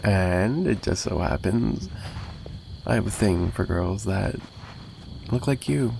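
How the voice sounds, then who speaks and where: A man playing a possessive, menacing and flirtatious mafia boss in an ASMR roleplay monologue, taunting and sarcastic with drawling pauses. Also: on the low side, lively intonation, gravelly, a little mellow, a little hiss or hum, full character voice.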